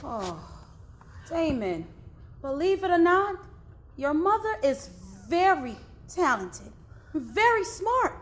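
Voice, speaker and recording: Actress as a soothing devout aunt, very high voice, lively rises and falls. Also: female voice, slow, heavily acted. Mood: comforting. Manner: gentle, comforting, calls him honey. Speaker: actor in a stage play.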